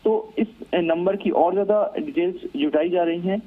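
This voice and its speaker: Male Indian correspondent, slightly high-pitched, measured and halting analysis, heard through a thin telephone connection. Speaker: news correspondent. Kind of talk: live phone report, analytical commentary. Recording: narrow, tinny phone line.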